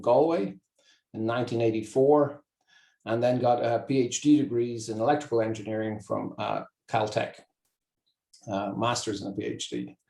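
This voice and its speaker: A man's voice: mid-range voice, vocal fry. An Irish man hosting a seminar, introducing the speaker in a chatty way with frequent fillers. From east of Ireland. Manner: warm, chatty, hesitant with fillers. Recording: echoey room.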